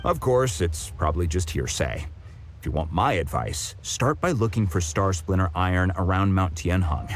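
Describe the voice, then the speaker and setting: An obliging townsman in a video game. His voice is deep and moves around freely as he mulls things over casually. There is faint ambient noise behind him. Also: lively intonation, normal speed. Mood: helpful, musing. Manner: hesitant, casual, thinking aloud. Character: helpful, easygoing man giving local advice.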